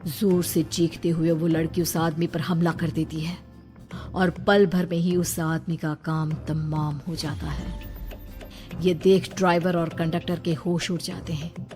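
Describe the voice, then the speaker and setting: A woman's voice: deep voice, level tone, unhurried, darkish tone. A woman narrator reading a scripted horror story in a storytelling style. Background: music.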